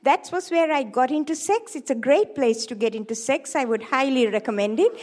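High-voiced Sri Lankan woman in her seventies. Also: brisk. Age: 70s. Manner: joking, lively, playful asides to the audience. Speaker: seminar speaker, sex therapist. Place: hall with a live audience.

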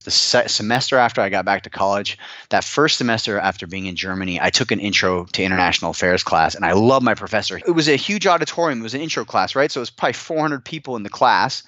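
A fairly low-pitched American man tells personal stories in a conversational, informal manner, leaning on repetition for emphasis. He sounds like an interview guest talking at ease at length. The recording is slightly dull in tone, with little room sound. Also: fast, bright, forceful tone, no echo.

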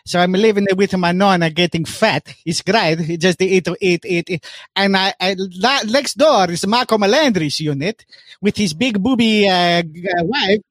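A man putting on a loud Italian character with a comic accent and broken English, who tells a story about his cousin. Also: high voice, normal speed, no echo. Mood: boisterous. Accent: put-on Italian.

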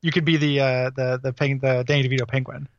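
A man's voice: medium pitch, level tone, fast, no room sound, muffled recording. A man hosting a casual game podcast, rambling with lots of fillers and frequent laughs. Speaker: podcast host.

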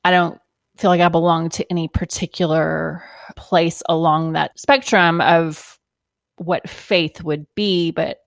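A woman hosting a conversation, speaking gently and gratefully in a soft-spoken way. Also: low voice, fairly even intonation, a little slow, vocal fry, no echo, clean studio sound. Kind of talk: reflective conversation.

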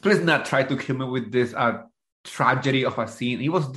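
A man from New Jersey co-hosting a casual reality TV recap, talking in a dramatic, halting way and repeating himself. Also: mid-range voice, fairly bright tone, reverberant. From Jersey City, United States. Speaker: podcast co-host.

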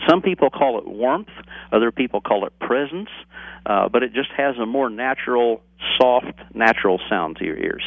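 An older American man giving halting, step-by-step explanations as a guest in an informal interview. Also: mid-range voice, expressive, vocal fry, dry recording, phone-quality sound. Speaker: cassette manufacturer being interviewed.

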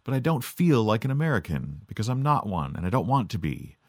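A man's voice: fairly low pitch, big pitch swings, brisk, dry recording. A middle-aged man from Canada delivering a sardonic, scripted monologue as podcast host. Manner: sardonic, dry.

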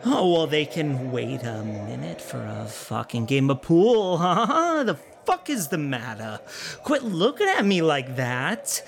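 A man's voice: a little high, sing-song, a little slow, vocal fry, full character voice. A man playing an obsessed, irritable drunk in a found-footage audio drama, muttering in fragments. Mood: irritated. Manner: muttering, fragmented, singsong at times.

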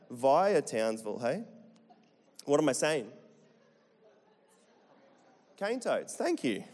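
A middle-aged Australian pastor with a slightly high voice preaches to his church. He jokes and tosses questions to the congregation. His voice rings with a long reverb through the hall. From Central Queensland, Australia. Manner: chatty, jokey, asks the crowd questions. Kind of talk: sermon to a live congregation.

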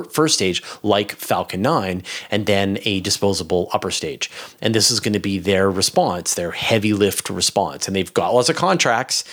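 A man's voice: on the low side, fairly bright tone. A man speaking as an expert podcast guest, giving casual, emphatic explanations in an informal way.

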